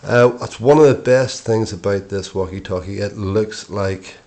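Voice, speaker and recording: A middle-aged man giving an informal product review in short, matter-of-fact phrases with hesitant pauses. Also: lowish voice, a little mellow.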